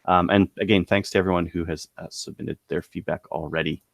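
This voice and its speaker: A man co-hosting a podcast, casually running through products in a halting, thinking-aloud way. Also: low voice, fairly even intonation, creaky, no echo, clean studio sound. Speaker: podcast co-host presenting gun deals.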